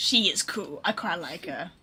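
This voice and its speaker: A woman's voice: on the low side, lively intonation, moderate pace, sharp tone. A chatty woman giving casual, joking, sweary live commentary as she streams a game.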